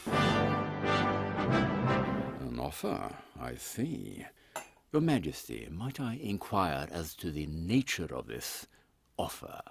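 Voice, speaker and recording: A man's voice: extremely low pitch, wildly swooping pitch, slow, gravelly, long pauses, soft background music, exaggerated voice. A man voicing a flustered, deferential British army captain who is pompous and stammering, in a steampunk audio comedy. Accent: British English. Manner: stammering, pompous, easily shocked.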